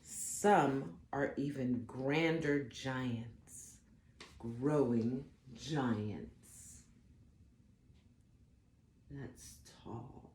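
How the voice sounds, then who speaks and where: A woman reads a children's story aloud in a low, soft-edged voice. Her delivery is dramatic and deliberate, made for young listeners. The room adds a noticeable echo around her. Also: slight background noise. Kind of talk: expressive read-aloud of a picture book.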